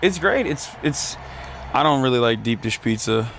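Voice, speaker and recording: A man's voice: medium pitch, lively intonation, moderate pace, fairly bright tone, slight background noise. Easygoing man interviewing with fillers. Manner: friendly, loose, hesitant fillers. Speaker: streamer interviewing a politician. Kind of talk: casual interview.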